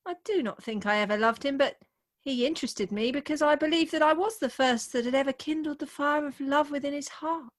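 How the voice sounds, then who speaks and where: High-pitched young bride played by an actress, sentimental and jealous, theatrically earnest Victorian comedy style for radio. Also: female voice, a little fast, close to an everyday voice. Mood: high-minded, reproachful. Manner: mannered, declamatory, earnest. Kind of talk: theatrical comic radio play acting. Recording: remote home video-call recording.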